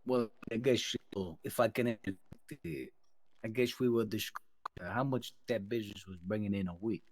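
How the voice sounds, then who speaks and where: A man playing a business-minded mobster in a crime roleplay game, talking in deliberate, wordy, hedging phrases. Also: on the low side, steady tone, a little hiss or hum, natural voice. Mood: deliberating. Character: measured, business-minded mobster advising the boss.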